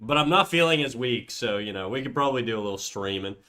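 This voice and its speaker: A man's voice: mid-range voice, lively intonation, fast, sharp tone. A young man with a cold, sounding stuffy and nasal, chatting casually and swearing on a livestream. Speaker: streamer. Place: home room.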